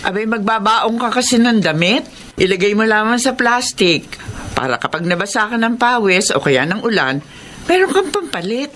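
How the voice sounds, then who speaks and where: Casting: practical, worried Filipino mother, middle-aged, radio drama; coaxing and fussing, lively intonation. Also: on the high side, moderate pace, slight background noise. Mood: fussing, scolding. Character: concerned, practical middle-aged wife and mother, gently nagging.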